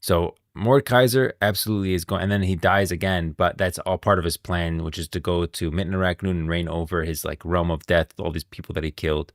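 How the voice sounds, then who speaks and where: Male streamer, low and creaky voice, enthusiastic, emphatic, off-the-cuff lore commentary. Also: steady tone, brisk, few pauses, no echo, clean studio sound. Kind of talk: casual livestream game lore commentary. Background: music.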